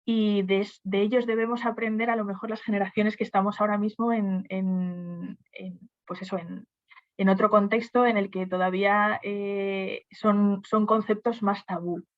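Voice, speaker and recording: A woman's voice: mid-range voice, flat delivery, moderate pace, lo-fi sound. A woman on a webinar panel discussing HR topics, speaking hesitantly with frequent restarts and fillers.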